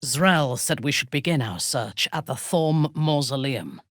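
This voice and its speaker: An actress playing a ruthless, haughty female warrior from the Underdark in a video game, speaking in a measured, imperious and menacing way. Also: a bass voice, lively intonation, no room sound, studio quality, exaggerated voice. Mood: cold, commanding. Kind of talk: video game character voice lines.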